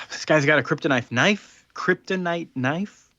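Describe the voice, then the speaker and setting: A man's voice: on the high side, unhurried, sharp tone, no echo, lo-fi sound. A man co-hosting a podcast, recapping a story in a loose, rambling way full of fillers. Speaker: podcast co-host. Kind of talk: casual podcast chat.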